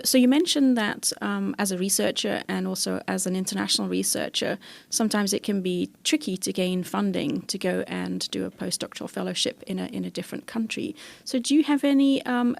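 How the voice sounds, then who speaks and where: Gravelly woman podcast host. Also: mid-range voice, no room sound. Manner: friendly questioning with fillers. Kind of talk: podcast interview.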